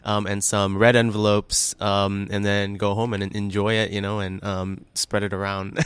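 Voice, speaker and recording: A young man from Indonesia answers interview questions in an easygoing, friendly way. His voice is smooth and clear, sitting slightly low. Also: little pitch movement, normal speed, fairly bright tone, dry recording. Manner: friendly, easygoing, with many ums and laughs. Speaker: graduate student. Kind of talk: casual interview.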